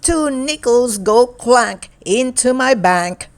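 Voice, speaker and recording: Slow-paced woman reading a children's storybook. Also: a little high, lively intonation. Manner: deliberate, short phrases with playful exclamations. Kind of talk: read-aloud storytelling for young children.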